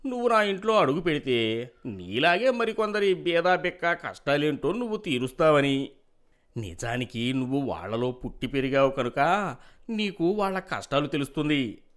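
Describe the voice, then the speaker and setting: Man acting a sly older village big shot, swooping pitch. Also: fairly bright tone. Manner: persuasive, self-justifying. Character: sly, hypocritical older village president feigning pity. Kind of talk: dramatized moral story dialogue.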